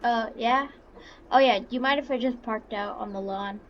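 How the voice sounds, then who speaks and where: A woman doing casual, halting roleplay commentary over a farming game, excitable and given to exclaiming. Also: on the high side, dull audio. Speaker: gaming video creator. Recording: noisy, with vehicle engine sounds.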